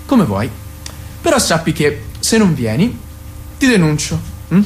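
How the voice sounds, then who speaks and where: A man acting a higher-voiced, teasing, insistent lover. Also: sing-song, unhurried, a little hiss or hum, rough recording. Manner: teasing, probing, by turns lyrical and confessional. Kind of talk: radio play dialogue and monologue.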